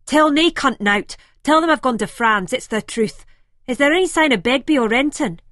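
A woman's voice: mid-range voice, measured pace, husky, no room sound, clean studio sound. A woman reading an audiobook, voicing a panicking, swearing Scottish man with a Scottish accent. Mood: furious. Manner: shouting, swearing, frantic.

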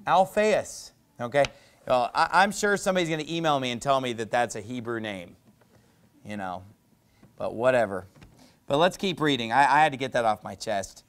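A man, preaching an animated, conversational sermon from a pulpit, wearing a lapel mic in a small church. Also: medium pitch, moderate pace, clean tone. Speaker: pastor. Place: small church stage with painted backdrop, indoors.

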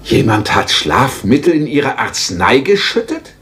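An actor playing a methodical, matter-of-fact police inspector asking questions in a radio crime drama. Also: male voice, mid-range voice, big pitch swings, measured pace, fairly bright tone, a little hiss or hum. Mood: surprised.

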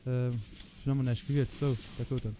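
A man who is a native Luxembourgish speaker, giving casual, excitable live gameplay commentary and repeating words in urgent bursts, with swearing. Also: mid-range voice, normal speed. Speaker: gamer recording a let's play. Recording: noisy home recording with game sound. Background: video game sound.

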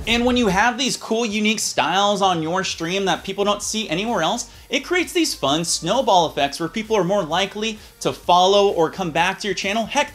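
A man in his thirties presenting a software tutorial in a casual, upbeat way. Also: high-pitched. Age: 30s. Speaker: YouTube tutorial host. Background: background music.

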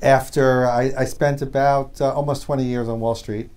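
A middle-aged man, a company president, telling how he joined the firm in a halting, filler-heavy way during a studio interview. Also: mid-range voice, fairly even intonation, a little mellow. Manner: hesitant, many fillers, measured. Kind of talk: recounting his story in an interview. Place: small TV talk show studio, indoors.

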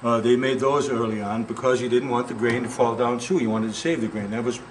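A man's voice: medium pitch, steady tone, a little fast, slight background noise, rough recording. An older man guiding a tour through an old wooden barn, explaining farm tools in a folksy, rambling way. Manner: folksy, rambling, full of "you know" and "okay?". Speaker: museum guide. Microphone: camera mic, handheld camera.

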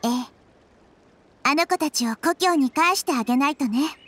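A woman voicing a small, wise girl goddess in a game, speaking softly and with composure. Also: high voice, normal speed, a little hiss or hum. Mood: calm. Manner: soft, composed, explanatory. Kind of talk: anime game voice acting.

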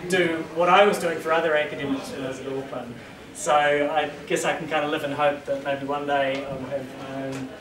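A man in his thirties with a New Zealand accent, giving a casual, self-deprecating talk to a meetup in a small brick bar room, heard from a far camera. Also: fairly high pitch. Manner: self-deprecating, joking, many ums. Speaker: statistics lecturer giving a talk. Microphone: far camera, no mic. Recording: echoey room from far off, crowd.

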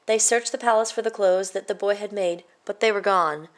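A woman storyteller reading a children's picture book aloud in a measured, expressive way. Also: medium pitch.

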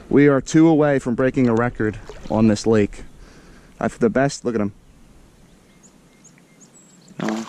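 An American man narrating his fishing trip from a kayak on a lake in casual, excitable commentary, talking straight to his viewers. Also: mid-range voice, slight background noise. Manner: excitable, slangy, talks to viewers as "guys". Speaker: fishing vlogger. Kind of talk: casual running commentary to camera. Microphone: action camera mounted on the kayak.

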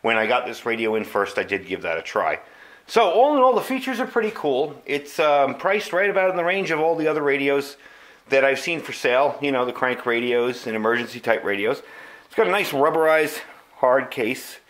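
A man's voice: mid-range voice, big pitch swings, brisk, a bit bright. A man giving a casual, unscripted product review as a channel host, chatting informally to his viewers. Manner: informal, conversational, addresses viewers as folks.

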